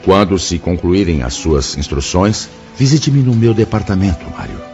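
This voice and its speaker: Deep-voiced, fatherly spirit mentor. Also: very animated, dark tone. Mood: grave, counselling. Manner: measured, solemn, formal. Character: wise, fatherly male spirit mentor, grave and benevolent. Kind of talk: radio drama acting. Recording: slightly muffled radio drama with music.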